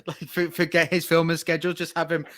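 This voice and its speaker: A man hosting a wrestling news podcast, talking in a rambling, halting way with plenty of fillers. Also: a little high, little pitch movement, bright, forceful tone, no echo, studio quality. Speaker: podcast host. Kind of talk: casual news chat.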